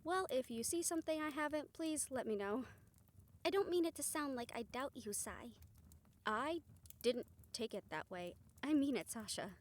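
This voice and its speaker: Woman acting, fairly high voice, caring team strategist, affectionate, teasing, driven, fantasy audio drama. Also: expressive, normal speed. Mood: gently concerned, then weary, thoughtful. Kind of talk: scripted audio roleplay acting.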